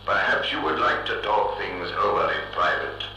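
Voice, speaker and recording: Casting: imperious thinking machine, vintage radio sci-fi. Lowish, very hard-edged, emotionless, deliberate. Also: male voice, expressive, pushed and bright, slight background noise. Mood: commanding. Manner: emotionless, pompous, formal and deliberate. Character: cold, imperious giant thinking machine ruling Mars. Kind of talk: vintage science-fiction radio drama acting. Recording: echoing old reel-to-reel radio recording.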